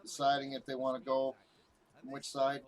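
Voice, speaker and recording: A man on a headset mic, hyping up an esports match on TV, swearing a lot and shouting at big plays in a loud, deep voice. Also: monotone, normal speed. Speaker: watch-along streamer. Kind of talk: excited game watch-along commentary. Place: home room with TV playing.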